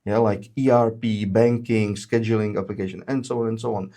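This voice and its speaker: A man's voice: on the low side, steady tone, dark tone. Male webinar presenter, methodical, filler-heavy. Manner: frequent "uh" fillers, measured explanatory delivery. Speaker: webinar presenter, solution engineer. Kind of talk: explaining product features in a webinar.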